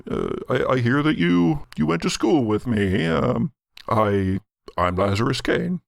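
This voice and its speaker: A man voicing a polite, halting gentleman doctor with a gravelly voice in an audio drama game. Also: mid-range voice, animated, dry recording, studio quality. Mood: hesitant, polite. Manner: halting, stammering, formally polite. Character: average-height, well-dressed doctor, halting and polite mystery man.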